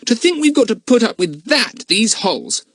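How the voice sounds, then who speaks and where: A man's voice: extremely high pitch, sing-song, normal speed, fairly bright tone, dry recording, studio quality, voice pushed up, exaggerated voice. A male narrator voicing an emphatic, hot-tempered schoolboy. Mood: indignant. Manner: indignant, emphatic.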